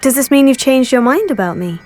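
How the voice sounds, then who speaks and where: A high, youthful girl's voice, the heroine of a fantasy game. She is polite, helpful and self-assured, with light music in the background. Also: animated, fairly quick, a little mellow. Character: confident, helpful teenage girl student, game heroine. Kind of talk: video game character dialogue.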